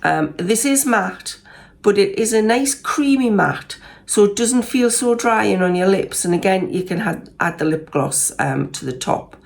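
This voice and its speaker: A Geordie woman in her sixties, croaky from a cold, chatting casually through a makeup review vlog with lots of ums and restarts. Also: medium pitch, expressive, a little slow. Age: 60s. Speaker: beauty vlogger.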